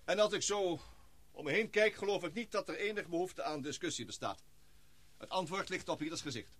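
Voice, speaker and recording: An actor playing a formal male expedition leader and scientist who speaks in measured, speechmaking tones in a radio play. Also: high voice, bright, forceful tone, exaggerated voice.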